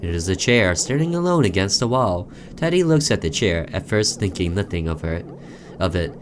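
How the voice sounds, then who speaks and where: A man's voice: low voice, very animated, moderate pace, noisy background. A man doing let's play commentary, reading a visual novel aloud theatrically and breaking off for offhand asides.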